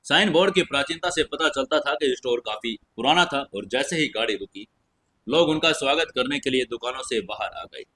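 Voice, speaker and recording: A man's voice: mid-range voice, expressive, a little fast, pushed and bright. A man narrating a scripted fantasy audio story in a dramatic storytelling style.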